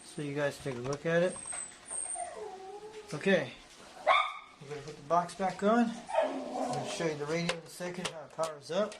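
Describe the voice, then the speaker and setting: A man's voice: a little high, normal speed, long pauses. A man presenting a casual, unscripted hobby show-and-tell in a rambling, repetitive way. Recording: noticeable background noise.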